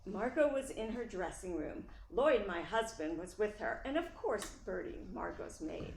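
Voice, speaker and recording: Actress as emphatic, easily exasperated writer's wife for radio play; swooping intonation, roomy recording with faint noise. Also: female voice, medium pitch, measured pace. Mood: narrating. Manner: animated, emphatic. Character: brisk, warm-hearted playwright's wife, easily exasperated. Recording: echoey room, faint noise.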